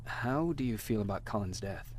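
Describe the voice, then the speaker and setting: A man's voice: fairly low pitch, lively intonation, moderate pace. A man playing a guarded, terse survivor soldier in a video game. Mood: guarded, quiet. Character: guarded male resistance soldier, survivor hero. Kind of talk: video game acting and narration. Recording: loud music behind the voice.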